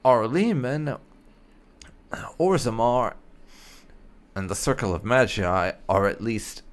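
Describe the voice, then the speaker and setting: A man's voice: medium pitch, big pitch swings, takes it slowly. A man giving gameplay commentary on a role-playing game, speaking bluntly and with some swearing. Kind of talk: gameplay commentary, partly in character.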